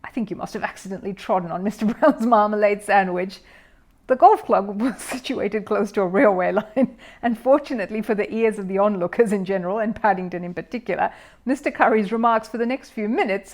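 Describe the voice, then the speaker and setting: A woman narrator reading a children's story aloud in an expressive style. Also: medium pitch, brisk. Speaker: audiobook narrator.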